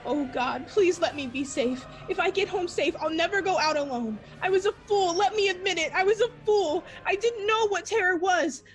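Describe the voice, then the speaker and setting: Woman acting a frantic, very high-voiced frightened young woman. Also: normal speed, few pauses. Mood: panicked, pleading. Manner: breathless, frantic self-talk in short repeated bursts. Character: terrified young woman fleeing home alone at night. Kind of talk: 1940s radio play acting.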